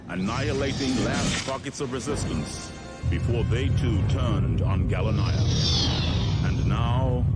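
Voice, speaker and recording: A man's voice: mid-range voice, lightly acted. Guy acting as a grave tired fleet pilot telling a doomed war story, mid voice, video game cutscene style, big music behind him. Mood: grave, fatalistic. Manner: solemn, measured, portentous. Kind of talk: dramatic scripted cutscene narration. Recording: voice under loud music. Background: loud music.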